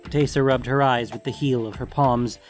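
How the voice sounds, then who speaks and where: A man's voice: medium pitch, fairly even intonation, moderate pace, soft background music. A man acting as narrator, reading scripted fantasy fiction.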